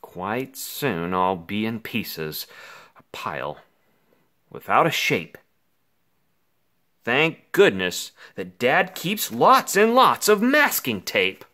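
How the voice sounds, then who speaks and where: A man reads a rhyming children's book aloud in the role of an anxious little boy. His delivery is theatrical and extremely animated, with pitch leaping up and down. He takes it slowly, leaving dramatic pauses. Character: anxious, melodramatic five-year-old boy narrating his woes. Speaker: picture book reader.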